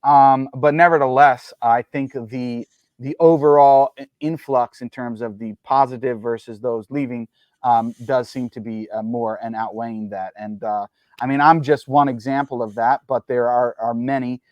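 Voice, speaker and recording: A man's voice: medium pitch, fairly even intonation, moderate pace, darkish tone, no room sound, dull audio. A man from New Jersey talking as an interview guest, explaining his views carefully with many ums and uhs and careful qualifications.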